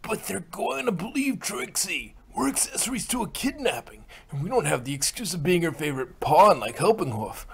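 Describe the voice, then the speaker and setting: A man voicing a nervous pony henchman in a storybook reading, hushed and hurried. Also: high-pitched, very animated, vocal fry, a little hiss or hum, voice pushed up, exaggerated voice. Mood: nervous, furtive. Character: nervous pony henchmen plotting their escape.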